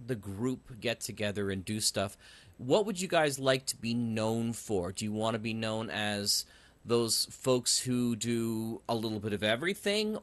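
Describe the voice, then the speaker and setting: A man's voice: mid-range voice, a bit bright, dry recording. A man hosting a podcast, asking questions in a casual conversational interview style.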